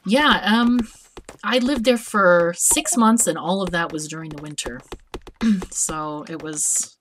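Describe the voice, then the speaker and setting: A woman hosting a multiplayer game stream, chatting in a relaxed way and often restarting her words. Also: low-pitched, noisy background. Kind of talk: casual chat while playing.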